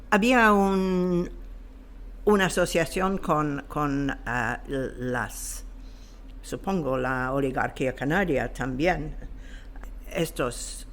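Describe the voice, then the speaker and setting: Gravelly-voiced man, halting interview talk. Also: fairly high pitch. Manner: hesitant, halting, searching for words. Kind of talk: explanatory interview talk on history.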